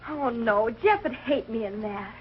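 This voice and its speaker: An American teenage daughter, earnest and given to melodrama, in old sitcom style. Her girlish voice is high, and the television soundtrack is dull. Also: expressive, measured pace, slight background noise. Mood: dejected. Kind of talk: 1940s TV sitcom acting. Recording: muffled 1940s television soundtrack.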